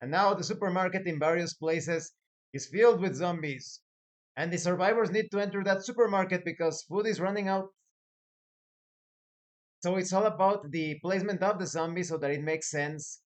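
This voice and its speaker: A man hosting a tabletop gaming advice video as a game master, speaking in a deliberate, emphatic way with dramatic lists. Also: high voice. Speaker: host, professional game master.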